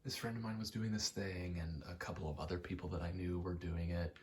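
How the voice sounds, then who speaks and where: A young man in a casual, halting ASMR ramble, speaking softly and often slipping toward a whisper, with a self-deprecating, sweary manner. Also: low-pitched, steady tone, a little hiss or hum. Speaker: ASMR creator. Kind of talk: rambling ASMR, casual personal chat while gaming.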